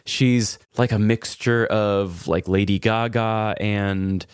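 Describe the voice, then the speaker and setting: A man's voice: on the low side, fairly even intonation, takes it slowly, smooth, no room sound, studio quality. An American man co-hosting a podcast, chatting casually and comedically with gushing enthusiasm. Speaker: podcast co-host.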